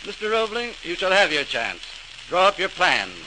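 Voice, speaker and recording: An actor playing a formal, stately official in a 1940s radio drama, declaiming an announcement. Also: male voice, high-pitched, sing-song, normal speed, poor audio. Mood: official, approving. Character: formal male official granting an appointment.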